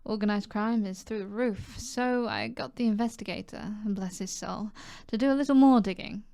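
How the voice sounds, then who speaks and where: A woman with a British accent voicing a seductive, self-assured mob boss in an ASMR roleplay, soft and flirtatious, often dropping to a whisper. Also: medium pitch, gravelly, no echo, close to an everyday voice. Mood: coolly informative. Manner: flirtatious, teasing, unhurried.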